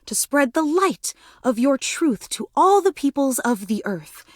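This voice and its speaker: A woman's voice: sits high, big pitch swings, a little slow, dry recording, clean studio sound. A woman playing a clingy, overemotional superfan in a video game, with a melodramatic, effusive, fawning manner. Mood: reverent, gushing. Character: gushing, clingy, overemotional female superfan, obsessively devoted. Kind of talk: video game character voice acting.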